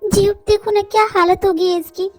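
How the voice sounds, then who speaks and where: A sharp-tongued middle-aged mother-in-law, voiced by a woman who scolds in a high, dismissive tone. She is contemptuous and impatient, with faint music behind her. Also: steady tone, moderate pace. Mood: scornful.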